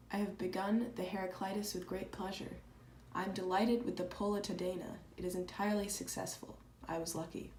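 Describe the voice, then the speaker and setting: A woman playing an earnest, proud and candid letter writer in a dramatized reading, speaking reflectively and deliberately. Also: on the low side, a little mellow, lightly acted. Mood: composed. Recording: echoey room with clear background noise.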